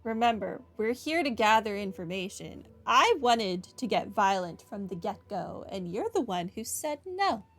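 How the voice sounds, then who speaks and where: A woman's voice: medium pitch, very animated, normal speed, hardly any pauses. A woman giving casual, sarcastic, skeptical commentary as she plays a game. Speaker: let's-play commentator. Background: game music.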